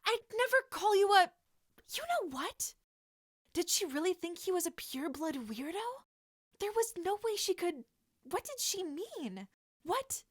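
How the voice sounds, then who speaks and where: A woman's voice: very high voice, very animated, a little slow, dry recording, clean studio sound, voice pushed up, full character voice. A woman voicing a brash, lovestruck teenage wizard boy in an audiobook-style story, flustered and frantic. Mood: panicked. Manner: shouting, stammering, frantic.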